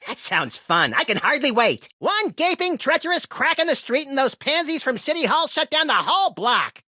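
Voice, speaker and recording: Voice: medium pitch, bright, forceful tone, no echo, clean studio sound. An actor voicing a mischievous, violent little rabbit creature from a cartoon-style video game, wisecracking with gleeful sarcasm. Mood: excitable.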